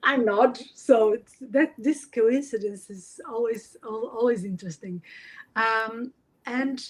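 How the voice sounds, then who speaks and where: Slow-talking woman host. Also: fairly high pitch. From Portugal. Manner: earnest, searching for words. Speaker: podcast host. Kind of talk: live podcast conversation.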